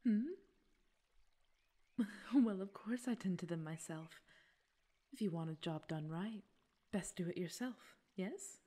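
A woman playing a gentle, coaxing fey queen with secret possessiveness in an ASMR roleplay, speaking breathily and formally, often near a whisper. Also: on the low side. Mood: sweet, gracious. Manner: slow, soothing, slightly formal speech. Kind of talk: ASMR roleplay audio drama.

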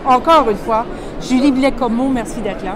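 A high-voiced woman with a Quebec French accent hosts an impromptu interview in a busy exhibition hall. She talks in an informal, effusive way, and a loud crowd surrounds her as she speaks into a phone. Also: moderate pace. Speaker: interviewer, book club host. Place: large indoor exhibition hall at a book fair. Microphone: phone at arm's length.

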